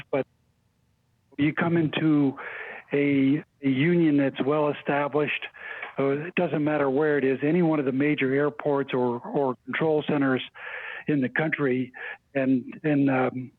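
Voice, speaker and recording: An older man, an interview guest, recalling his experiences in a halting, deliberate way over a remote call. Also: on the high side, no echo. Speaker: interview guest, former union spokesperson. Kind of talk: reflective personal recollection in interview. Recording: thin phone-like line, dry.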